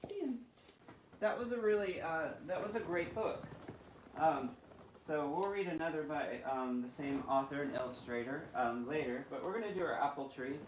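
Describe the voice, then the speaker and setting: A man hosting storytime for young children, with an expressive, sing-song delivery. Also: on the high side, moderate pace. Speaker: children's library storytime host. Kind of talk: reading picture books and leading finger rhymes. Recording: roomy echo with faint background noise.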